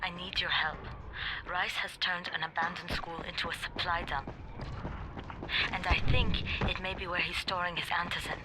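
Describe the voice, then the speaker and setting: An actress playing a brisk, determined female fighter in a video game, direct and businesslike. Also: lowish voice, little pitch movement, background noise, natural voice. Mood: urgent. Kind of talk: naturalistic video game acting.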